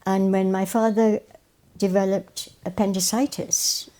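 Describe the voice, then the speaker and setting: Older woman, mellow voice. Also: mid-range voice, flat delivery, measured pace. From Wales. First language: Welsh. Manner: warm storytelling, repeats phrases for emphasis. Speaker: guest, educator. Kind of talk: reminiscing interview answers.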